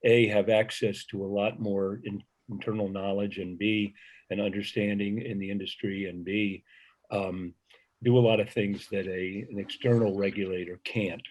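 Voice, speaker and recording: An older man speaking as a panelist, hesitant with many pauses and fillers. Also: lowish voice, level tone, darkish tone, low-quality recording. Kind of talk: panel discussion.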